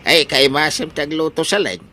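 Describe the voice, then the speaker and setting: A man playing an elderly widowed Ilocano father giddily in love, hesitant and full of drawn-out interjections, in a comedy radio drama. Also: on the high side, natural voice. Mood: excited, coaxing.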